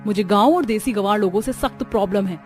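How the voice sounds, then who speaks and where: A woman's voice: mid-range voice, fast, darkish tone, soft background music, close to an everyday voice. A woman voicing a snobbish young modern daughter-in-law in a cartoon, sassy and peppering her Hindi with English. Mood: indignant.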